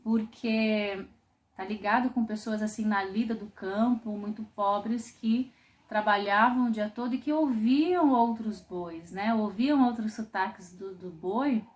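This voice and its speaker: A woman from Brazil teaches a popular singing class in a voice of ordinary pitch for a woman. She explains thoughtfully, stopping often to rephrase herself. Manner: thoughtful, explanatory, with frequent pauses and restarts. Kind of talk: online music lesson.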